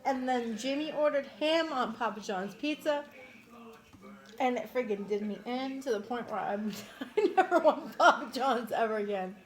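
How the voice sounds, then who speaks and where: A woman with a strong New York accent talks bluntly and emphatically over a meal. Her voice sits a little high. She states her opinions firmly. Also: lively intonation, normal speed. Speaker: mukbang co-host. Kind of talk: casual chat while eating.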